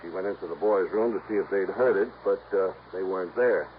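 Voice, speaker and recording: An earnest American insurance man acted by a man in an old radio mystery, with a lowish voice and a brisk, folksy, businesslike manner. The recording is muffled with faint noise. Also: natural voice. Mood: grave, explanatory. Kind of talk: 1950s radio drama acting.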